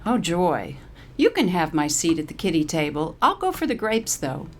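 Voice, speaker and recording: A woman's voice: lowish voice, very animated, moderate pace, a little hiss or hum. A woman voicing a cranky, sarcastic, salty-tongued old lady in an audiobook story. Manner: surly, sarcastic, cursing.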